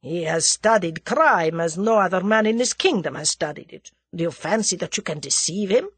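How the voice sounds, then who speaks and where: A woman narrator performs a bitter Russian male plotter from a storybook. His voice is rough and husky, pushed forcefully with lively swings. He snarls with exasperated menace, recorded close and dry. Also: fairly low pitch, moderate pace, no room sound. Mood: sinister accusation. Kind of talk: dramatised audiobook character dialogue.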